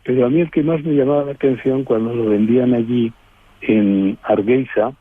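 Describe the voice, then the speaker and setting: A man telling travel anecdotes as an interview guest, halting and digressive, often adding tag questions. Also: medium pitch, steady tone, dry recording. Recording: muffled, phone-like narrowband line.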